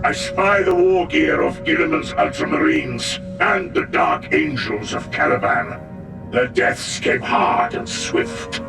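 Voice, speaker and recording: Gravelly, fierce space warrior. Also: male voice, on the high side, takes it slowly, exaggerated voice. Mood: grim awe. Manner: solemn, declamatory battle speech. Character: fierce, proud armoured space warrior of a wolf brotherhood. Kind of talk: dramatic video game acting. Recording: echoey, distant, under very loud music.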